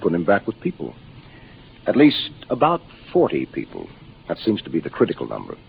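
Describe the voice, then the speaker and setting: Low-voiced, commanding American spaceship captain. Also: male voice, wildly swooping pitch, a little fast, gravelly, full character voice. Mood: grave, explanatory. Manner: commanding, explanatory. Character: seasoned, matter-of-fact male spaceship captain. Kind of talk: 1950s radio drama acting. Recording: muffled, noisy 1950s radio broadcast.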